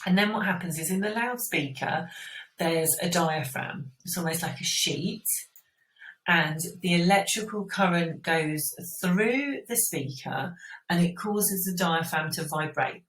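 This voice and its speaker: A woman's voice: on the low side, a little slow, a bit bright. A woman teacher giving an informal step-by-step school science explanation, often checking in with the listener. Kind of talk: explanatory school revision lesson. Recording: roomy echo, distant microphone.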